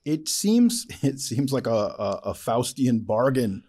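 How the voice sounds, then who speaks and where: Animated man interviewing in a mellow voice. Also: medium pitch, slow pace, breathy edge. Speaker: interviewer, host. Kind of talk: casual chat.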